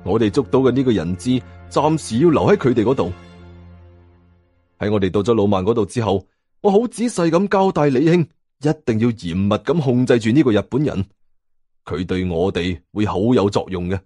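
A male audiobook narrator with a Hong Kong Cantonese accent tells a suspense story in a dramatic way. His mid-pitched voice swings in a lively manner from phrase to phrase. Music plays softly behind him. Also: dry recording, clean studio sound. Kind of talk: dramatic scripted first-person story narration.

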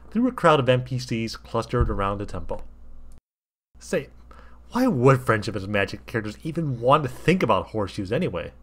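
A man reading a comic story aloud with wry, mock-earnest delivery. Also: medium pitch. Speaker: fan fiction reader.

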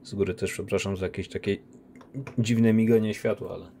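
A man unboxing silver coins, talking casually on a phone recording with a relaxed, chatty manner. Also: on the low side, a little fast, creaky, a little hiss or hum. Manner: relaxed, chatty, with many diminutives and fillers. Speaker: coin collector unboxing silver. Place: indoor room by a window. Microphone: phone camera.

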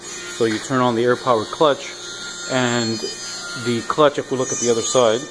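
A middle-aged man with a mid-pitched voice walks viewers through a machine in a casual, halting way. He talks in short, practical phrases as he demonstrates. The handheld recording is made in a large workshop with clear noise behind him. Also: fairly even intonation. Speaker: workshop machine builder and video host. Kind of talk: explanatory walkthrough of a machine. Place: large indoor metal workshop. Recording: clear shop noise on handheld camera audio.

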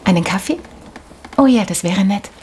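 A woman's voice: medium pitch, expressive, moderate pace, a little mellow, slight background noise, lightly acted. Radio play role, female actor: shy, courteous woman patient, hesitant, mid-range voice. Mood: politely pleased. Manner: meek, hesitant, polite. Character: timid, polite, easily startled female therapy patient. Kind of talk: audio drama acting.

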